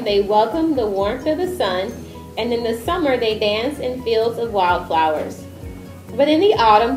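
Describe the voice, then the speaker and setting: A woman reading aloud as the narrator and presenter of a stage performance. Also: on the high side. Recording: echoey room with music over it.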